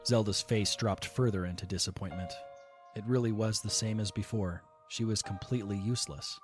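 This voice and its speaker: A man narrating a fan audio drama in a calm, steady, scripted reading. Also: fairly low pitch, moderate pace, over music.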